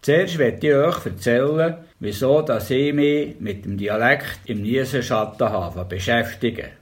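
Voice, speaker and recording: An older man from the Bernese Oberland in Switzerland, speaking with a Bernese German accent, calmly reading his memoirs and dialect tales as a storyteller. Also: mid-range voice, measured pace.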